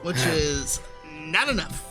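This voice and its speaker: A man's voice: fairly high pitch, expressive, takes it slowly, over loud music. A man playing a tabletop game, talking in casual banter with the other players.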